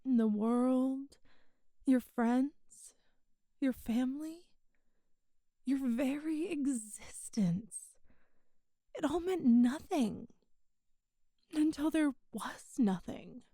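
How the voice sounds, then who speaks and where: A woman voicing an ancient female demon in an ASMR audio roleplay, tender, wise and regretful, speaking softly and intimately with sighs and hesitations. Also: high-pitched, lively intonation, extremely slow, long pauses, dry recording, clean studio sound, full character voice. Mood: solemn, earnest. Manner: soft, hesitant, intimate, sighing.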